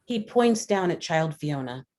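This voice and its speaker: A woman's voice: deep voice, lively intonation, a little slow, darkish tone. A woman reading stage directions and scene descriptions as scripted narration at a table read.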